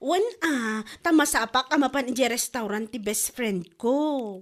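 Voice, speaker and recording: A woman's voice: fairly high pitch, measured pace, lightly acted. A woman with an Ilocano accent playing a chatty, feisty young waitress in a comedy audio drama. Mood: affectionate.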